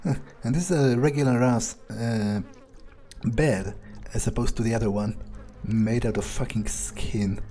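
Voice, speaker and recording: A man's voice: mid-range voice, a little slow, dark tone, close to an everyday voice. A man playing a jaded, foul-mouthed vampire who mutters wry remarks to himself during a video game playthrough. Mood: wry musing. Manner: muttering, wry, swearing often. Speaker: gaming video creator playing in character. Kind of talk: in-character game playthrough monologue.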